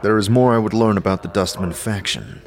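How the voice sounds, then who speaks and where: A man's voice: lowish voice, fairly quick, vocal fry. A man playing a modest, hesitant, well-meaning adventurer in a fantasy audio drama, plain-spoken and unsure of his words. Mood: earnest, uncertain.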